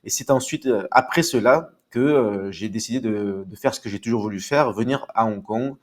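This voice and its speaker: A man's voice: lowish voice, moderate pace. A man from Marseille, answering as an interview guest in a hesitant, polite, explanatory way. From Marseille, France. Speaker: podcast guest. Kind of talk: casual autobiographical interview answers.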